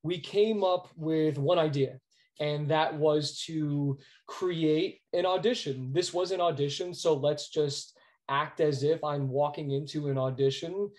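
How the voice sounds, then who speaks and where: A man's voice: fairly high pitch, fairly even intonation, measured pace, a little mellow. An American man telling stories as an interview guest, earnest and emphatic, often repeating words as he goes. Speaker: guest, sports arena announcer. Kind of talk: conversational interview storytelling.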